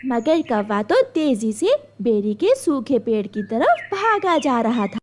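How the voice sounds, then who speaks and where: A woman narrates a children's cartoon story expressively. Her voice is high and melodic, the pace is slow and deliberate, and noise sits behind her. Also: big pitch swings.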